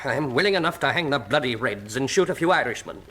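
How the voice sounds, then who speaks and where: An actor playing a blustering, anxious old soldier turned police chief in a radio drama. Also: male voice, mid-range voice, lively intonation, quick pace, bright, forceful tone. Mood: reluctant. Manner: gruff, agitated, anxious.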